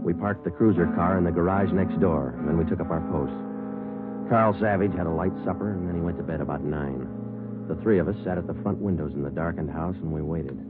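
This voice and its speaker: Give a low, deadpan American police sergeant played by a male actor from a vintage radio drama. He speaks in clipped, businesslike lines. The recording is muffled and old, with loud music behind. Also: natural voice. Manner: terse, deadpan. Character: weary, clipped, matter-of-fact police sergeant. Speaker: police detective and narrator. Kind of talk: 1950s radio drama acting and narration.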